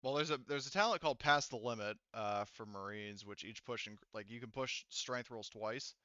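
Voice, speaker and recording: A man's voice: medium pitch, moderate pace, bright, forceful tone, clean tone, no echo, muffled recording. A man casually running a tabletop game as game master, explaining rules and narrating the action.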